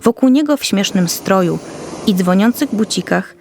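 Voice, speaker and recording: A woman's voice: medium pitch, animated, normal speed, vocal fry, a little mellow, soft background music. A woman storyteller reading a scripted fairy tale for children.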